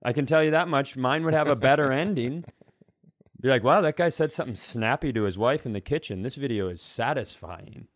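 A man's voice: medium pitch, fairly quick, dry recording. A man with a mid-range voice talks casually as a comedy podcast co-host. He rambles through his stories in a self-mocking, sweary way. The sound is close and dry, with hardly any room around it.

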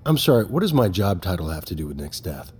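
A man playing a guarded, defiant head of security in an audio drama, terse and controlled, with a raspy, husky voice. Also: low voice, very animated, quick pace, darkish tone, full character voice.

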